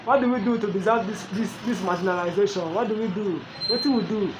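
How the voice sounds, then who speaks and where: A Nigerian-accented man with a high-pitched voice talks in a pleading political rant, circling back with question after question. Traffic noise is clearly heard behind him. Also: quick pace. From southeastern Nigeria. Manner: pleading, repetitive rhetorical questions. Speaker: commentator. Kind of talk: impassioned political monologue.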